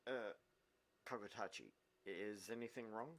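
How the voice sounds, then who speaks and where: A man's voice: on the low side, a little slow, fairly bright tone. A man voicing a courteous, concerned doctor in a visual novel, reading formally and politely.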